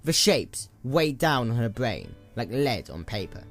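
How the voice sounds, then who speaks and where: A man's voice: mid-range voice, big pitch swings, unhurried, a bit bright, soft background music. A man reading scripted fiction as a dramatic story narrator. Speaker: audiobook narrator.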